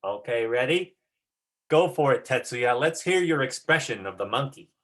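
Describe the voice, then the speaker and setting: A drama teacher giving deliberate, emphatic instruction in short phrases during an online rehearsal. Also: medium pitch, animated, fairly bright tone. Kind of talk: instructional talk on a video call.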